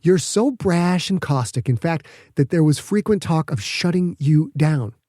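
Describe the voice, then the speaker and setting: Smug female politician, read by a man, slightly raised pitch, measured condescension, mellow, audiobook. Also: animated, dry recording, clean studio sound. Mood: scolding. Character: smug, calculating woman governor, a seasoned politician.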